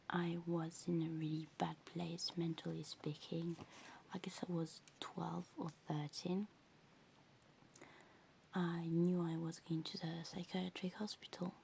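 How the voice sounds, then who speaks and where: A woman with English as a second language, whispering softly in a rambling ASMR show-and-tell full of fillers. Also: lowish voice, gravelly, a little hiss or hum. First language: not English. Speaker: ASMR creator.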